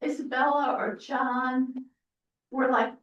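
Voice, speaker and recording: High-voiced woman asking slow questions. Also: fairly even intonation. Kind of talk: panel discussion. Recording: dull, roomy, far from mic.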